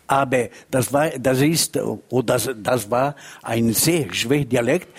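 An older man from Ticino with an Italian accent, speaking halting, imperfect German as a guest writer in a panel talk, searching for words. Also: medium pitch, slightly rough. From Ticino, Switzerland. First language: Ticinese Lombard dialect.

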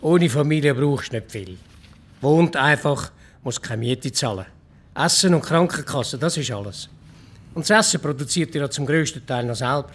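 A man's voice: medium pitch, animated, moderate pace, fairly bright tone, slight background noise. A man acting a matter-of-fact village councillor in a radio play, casually gossiping with wry amusement in a Swiss German accent.

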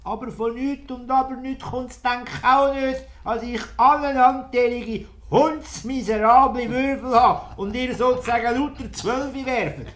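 Querulous male farmer, forever aggrieved, notably high-pitched, in vintage radio comedy. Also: fairly even intonation, unhurried, hardly any pauses, a bit bright. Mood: suspicious, aggrieved. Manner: complaining, querulous. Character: grumbling, aggrieved male peasant from the countryside. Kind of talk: 1950s radio comedy acting.

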